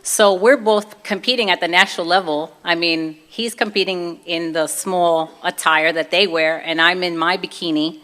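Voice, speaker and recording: A woman's voice: fairly low pitch, fairly even intonation, normal speed, hardly any pauses, pushed and bright. A woman with a Brooklyn accent giving an informal personal talk, telling her story conversationally and acting out bits of dialogue. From Brooklyn, New York, USA.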